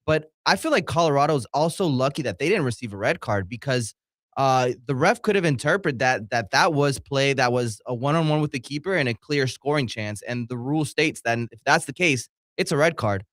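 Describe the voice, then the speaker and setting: A man co-hosting as a soccer analyst, explaining his opinions on matches in a measured, conversational way. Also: medium pitch, expressive, fairly bright tone, dry recording. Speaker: soccer analyst co-host, former college player.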